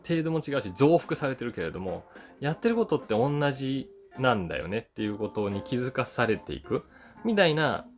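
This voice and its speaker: A man in his forties chatting casually through a movie review in an animated, conversational storytelling way. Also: medium pitch, a little fast. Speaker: film reviewer, voice actor. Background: soft guitar music.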